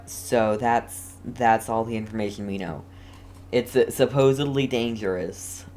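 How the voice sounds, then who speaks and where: A man's voice: mid-range voice, measured pace, darkish tone. A man hosting a casual let's play, rambling and self-deprecating as he comments. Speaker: gaming video host. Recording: faint background noise.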